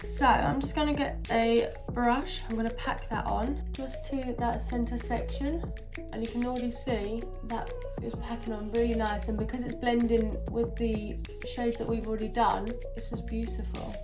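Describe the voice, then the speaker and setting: Brief: woman vlogger, bunged-up nasal voice, a little high, chatty with music beneath. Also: level tone, normal speed. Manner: chatty, many fillers. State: stuffy nose from a cold. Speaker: beauty vlogger. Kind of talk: casual makeup tutorial.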